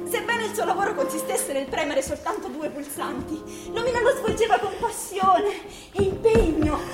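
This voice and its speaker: An actress playing a storyteller who narrates a bittersweet fable in a theatrical fairy-tale style for radio drama. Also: female voice, extremely high pitch. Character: expressive female storyteller telling a bittersweet fable. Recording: roomy stage sound under loud music.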